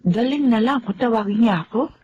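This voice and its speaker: Devoted widowed housemaid mother played by an actress, middle-aged, earnest, lively intonation, dull radio-drama sound. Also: fairly high pitch, fairly quick, a little hiss or hum, natural voice. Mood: concerned, earnest. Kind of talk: Filipino radio drama acting.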